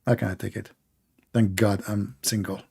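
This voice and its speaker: A man's voice: fairly low pitch, level tone, normal speed, creaky, dark tone, husky. Argumentative man hosting a call-in debate. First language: Arabic. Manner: argumentative, interrupts callers, repeats himself. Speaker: debate show host.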